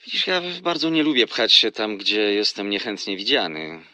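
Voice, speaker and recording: A deep, crisp courteous gentleman. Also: very animated, no room sound, full character voice. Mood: hurt, restrained. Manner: polite, measured, deliberate. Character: courteous, reserved, easily wounded gentleman on the phone. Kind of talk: audiobook radio drama acting.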